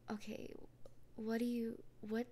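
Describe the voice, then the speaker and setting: A woman in a soft, gently reassuring comfort roleplay, often speaking in a whisper. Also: medium pitch, creaky, slight background noise. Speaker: roleplay performer speaking as a partner.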